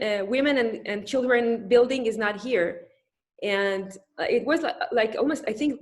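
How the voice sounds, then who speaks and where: Animated Israeli woman telling stories. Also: high-pitched. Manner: animated storyteller with many restarts. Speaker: guest physician. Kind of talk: webinar interview storytelling.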